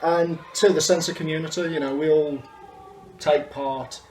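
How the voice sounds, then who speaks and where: A man's voice: fairly high pitch, fairly even intonation, moderate pace, a little mellow, soft background music. A man chatting casually in an interview as an amateur cast member. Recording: echoey room with faint noise.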